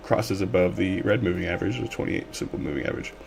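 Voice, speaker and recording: A man with a slightly hoarse voice, streaming a casual coding tutorial from his desk and rambling with lots of fillers. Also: low voice, fast. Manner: relaxed, rambling with many fillers. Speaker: livestream coding instructor.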